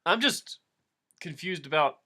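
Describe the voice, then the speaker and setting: A man's voice: on the high side, lively intonation, a little slow, bright, forceful tone. A man in casual comic chat, incredulous and nitpicking, stammering as he comments on a story reading.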